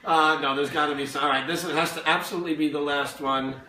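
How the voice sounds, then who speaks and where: A man's voice: fairly high pitch, steady tone, fast, sharp tone, far from the mic, a little hiss or hum. A man musician chatting casually as a show guest, joking and often laughing through his words. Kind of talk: casual chat. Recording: echoey room from afar, guitar behind.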